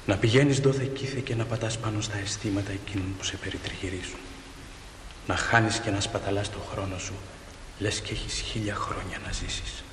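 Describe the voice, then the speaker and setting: A man's voice: fairly low pitch, fairly even intonation, close to an everyday voice. An actor playing a bitter, cynical dead man in a radio drama, speaking in scornful declamation. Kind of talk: theatrical radio drama acting. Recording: hissy old recording, long cavernous reverb.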